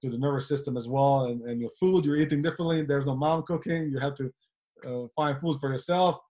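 A man's voice: mid-range voice, brisk, warm tone. A man, a coach, chatting casually and often ending his points with a question. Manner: talkative, ends points with "right?". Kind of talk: casual podcast chat.

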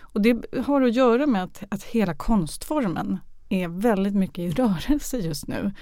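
A woman's voice: mid-range voice, animated, dark tone, no echo, studio quality. A woman answering interview questions hesitantly, searching for words. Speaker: guest, radio drama artistic director.

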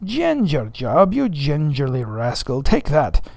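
Blustering ship's mate, pitched up high, loud and sputtering, as a male reader puts him on; dry booth sound. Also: wildly swooping pitch, creaky, no echo, full character voice. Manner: loud, sputtering, rapid-fire questions. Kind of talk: audiobook character voice.

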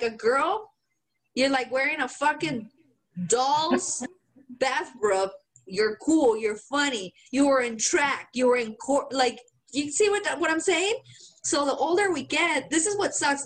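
A woman's voice: high-pitched, poor audio. A woman co-hosting a podcast in casual chat, emphatic and heavy on swearing. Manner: swears a lot, animated and emphatic. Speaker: podcast co-host.

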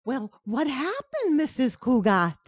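An actress playing a loyal, plain-spoken housemaid who is eager to help, in an old-time radio sitcom. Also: female voice, high-pitched, wildly swooping pitch, measured pace, breathy edge, dry recording, heavily acted. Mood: concerned.

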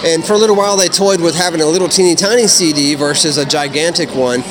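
A man's voice: on the high side, fast, a crowd in the background, low-quality recording. A chatty man co-hosting a casual conversation, laughing easily and using lots of fillers, recorded outdoors at a convention with noise behind him. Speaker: podcast co-host. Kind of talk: casual chat.

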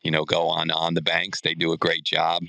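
Very deep, bright-voiced American man hosting. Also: completely flat delivery, moderate pace, vocal fry, no room sound. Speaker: sports podcast host. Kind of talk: casual podcast hosting.

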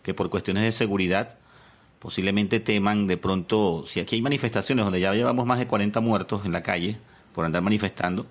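A man's voice: on the low side, brisk. A Venezuelan-accented man in his forties in casual podcast conversation, hesitating and searching for words. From born in Peru, living in Venezuela since his teens. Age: 40s. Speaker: podcast co-host.

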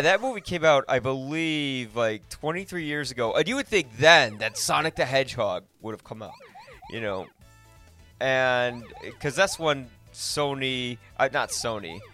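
Halting, casual male podcast host. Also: mid-range voice, bright, forceful tone. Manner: halting, self-correcting, rambling.

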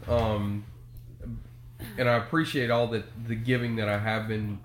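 A man's voice: on the low side, little pitch movement, measured pace. A man co-hosting a casual podcast, congested from a cold and talking in an easygoing, joking, rambling way. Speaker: podcast co-host. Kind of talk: casual chat. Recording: echoey room with faint noise.

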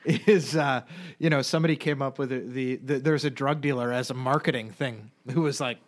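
A man's voice: mid-range voice, fairly even intonation, fairly quick. A man co-hosting a casual podcast chat, joking and rambling with frequent restarts. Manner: jokey, rambling, restarts often. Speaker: podcast co-host. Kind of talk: casual banter.

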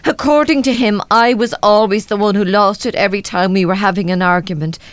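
A woman's voice: mid-range voice, brisk, no room sound, natural voice. An actress playing an anxious, worn-down, self-doubting mother in a radio drama. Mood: bitter. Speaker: radio play actor. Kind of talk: live radio drama acting.

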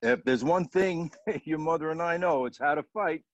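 A woman's voice: low voice, normal speed, no room sound, dull audio, lightly acted. A woman acting a blunt, joking father of a grown daughter in a radio drama. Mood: blunt, stern.

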